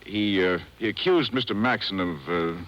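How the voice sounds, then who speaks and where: Nervous, halting low-voiced club underling played by a man. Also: fairly bright tone, natural voice. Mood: nervous, hesitant. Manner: halting, stammering, deferential. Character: nervous, hesitant gambling-club employee reporting a killing. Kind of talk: 1950s radio drama acting. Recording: muffled cassette of old radio broadcast.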